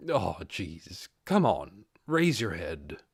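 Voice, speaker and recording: A reserved male adventurer in armor as an audiobook narrator acts him out, his voice dropped low. He talks tersely and a little awkwardly, with hesitation, in a dry recording. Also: big pitch swings, slow pace, creaky, breathy edge, no echo, full character voice. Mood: embarrassed. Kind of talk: audiobook character voice.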